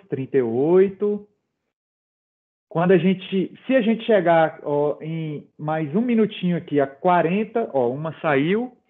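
A middle-aged man presenting in an online webinar, talking informally with many fillers and light jokes to the audience. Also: on the high side. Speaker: presenter running a quiz. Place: home office. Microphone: video call. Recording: phone-like video call audio.

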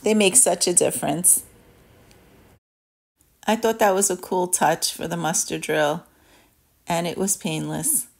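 A woman's voice: on the low side, a little slow, gravelly, pauses often. A woman travel vlogger giving a casual voice-over review of a trip.

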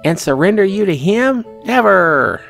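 A man's voice: high voice, sing-song, a little slow, creaky, fairly bright tone, faint music behind, pitched above the performer's own voice, full character voice. A man voicing a stubborn, indignant anime schoolgirl in a visual novel, with a girlish scolding manner.

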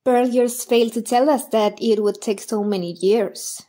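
A woman as a mellow, skeptical stallholder. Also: on the high side, measured pace. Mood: doubtful. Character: skeptical, questioning female funfair stallholder. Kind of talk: audio drama acting.

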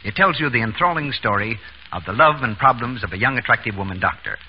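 A man's voice: medium pitch, expressive, fast talker. A man reading scripted announcements in a formal 1930s radio style. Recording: muffled, noisy old radio broadcast.

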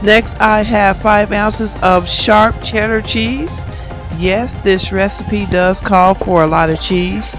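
A middle-aged woman talks to her viewers in a slow, steady, fairly flat way, her voice hoarse from a cold. She sounds keen and chatty as she walks through each step, with soft music playing clearly behind her. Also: medium pitch, poor audio. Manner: chatty, enthusiastic. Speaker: cooking vlogger. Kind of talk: casual cooking vlog. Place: parked car. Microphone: phone camera held close.

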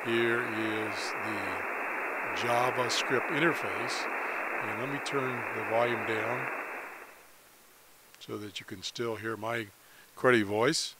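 A man presenting a casual, unscripted screen-capture tutorial as a hobbyist, speaking haltingly with pauses in the middle of sentences. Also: medium pitch, fairly even intonation, heavy background noise. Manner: halting, pauses mid-sentence, often clears throat.

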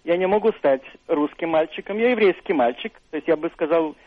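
An older man, a professor, answers slowly and reflectively, pausing on fillers. His voice sits somewhat high. The sound is dry and boxy, like a narrow radio line. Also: animated, no echo. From Russia. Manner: thoughtful, hesitant, many fillers and restarts. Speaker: author and university professor, studio guest.

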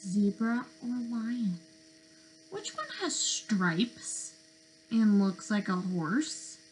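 A young adult woman reading interactively to small children as a teacher, expressive and sing-song, with questions and pauses. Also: mid-range voice, a little hiss or hum, rough recording. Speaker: speech-language pathologist presenting to preschoolers. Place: bedroom, indoors.

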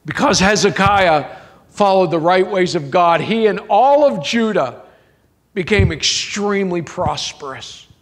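A man preaching a sermon in a church, with rising emphatic phrases, drawn-out endings and rhetorical questions to the congregation. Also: high voice, animated. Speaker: pastor.

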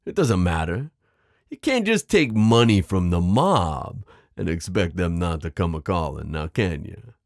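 A man voicing a smooth, possessive mafia boss in an ASMR roleplay, menacing yet protective, teasing the listener with pet names. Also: low-pitched, sing-song, unhurried, dry recording, clean studio sound, heavily acted. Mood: smug menace. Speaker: audio roleplay performer. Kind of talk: ASMR roleplay drama acting.

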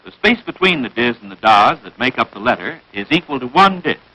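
An American man reading scripted narration for a 1940s military training film in a crisp, instructive manner, on a vintage film soundtrack. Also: medium pitch, big pitch swings, a little fast, bright, forceful tone, rough recording.

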